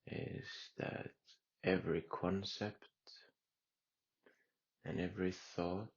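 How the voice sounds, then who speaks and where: A man guiding a meditation in a calm, unhurried way with long pauses. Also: low-pitched, vocal fry, husky, muffled recording. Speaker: meditation guide. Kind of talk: guided meditation.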